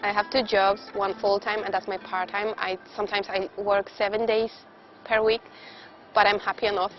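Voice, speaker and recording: A woman from Poland with a Polish accent, telling about her work in simple, halting phrases in an interview. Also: medium pitch, flat delivery, highs cut off, over music. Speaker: cafe worker. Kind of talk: documentary interview.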